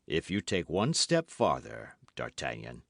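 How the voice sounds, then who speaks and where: A man voicing a cold, stern nobleman who speaks in a low, measured way. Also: huge pitch swings, creaky, a bit bright, no room sound, clean studio sound, heavily acted.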